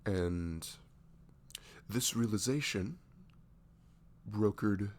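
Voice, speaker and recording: A man playing a goofy young best friend in an ASMR roleplay, halting and mock-professional. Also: on the low side, a little mellow. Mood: mock-serious, playful.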